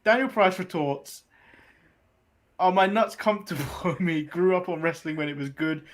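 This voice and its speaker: A man's voice: sits high, normal speed. A man with an English accent co-hosting a podcast in a casual, conversational style. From England. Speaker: wrestling podcast co-host. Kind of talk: casual chat, reading chat comments aloud.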